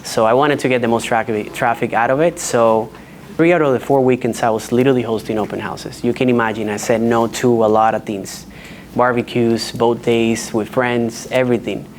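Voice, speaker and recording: A man from the Dominican Republic, a real estate agent speaking on a panel and telling his career story in an earnest, measured way. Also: medium pitch, fairly even intonation, a little hiss or hum. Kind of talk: panel discussion.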